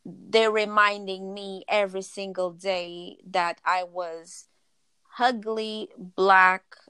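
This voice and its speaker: A woman's voice: medium pitch, little pitch movement, slow, sharp tone, clean tone, no room sound. A woman in her thirties from Portugal, a podcast guest telling her life story in an earnest way, often stopping to search for words. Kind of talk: personal storytelling in conversation.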